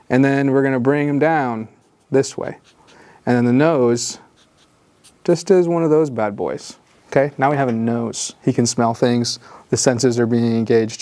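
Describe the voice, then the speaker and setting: A man leading a drawing tutorial in a jokey, rambling way, full of gentle encouragement and little asides. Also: mid-range voice, no echo. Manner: drops to whispers while concentrating on drawing. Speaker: drawing instructor.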